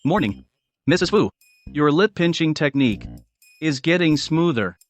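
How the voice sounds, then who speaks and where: Devoted young CEO husband, fairly high voice acted. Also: male voice, lively intonation, moderate pace, soft background music, close to an everyday voice. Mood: calm, teasing.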